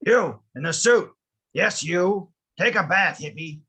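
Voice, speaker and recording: A man plays a stubborn, grumpy old widower in a script read. The voice is husky and rough with a bright, hard edge, and the delivery is curt and grumbling. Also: high-pitched, unhurried, full character voice. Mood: defiant, shouting. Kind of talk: online script table read.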